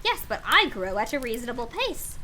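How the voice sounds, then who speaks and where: A woman puts on a sulky storybook dormouse, small and indignant. It grumbles in a bright, highish voice with lively pitch. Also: brisk, a little hiss or hum. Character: sulky, squeezed little dormouse, complaining.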